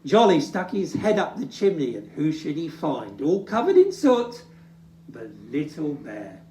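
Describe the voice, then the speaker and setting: A storyteller reading to children expressively, with dramatic, drawn-out emphasis. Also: mid-range voice, huge pitch swings, warm tone, slight background noise. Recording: roomy, distant microphone.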